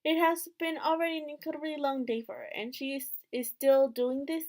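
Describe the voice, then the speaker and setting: A woman with a high-pitched voice reads story narration aloud from a visual novel. She performs it expressively as the host of a gaming video. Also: level tone, brisk, darkish tone.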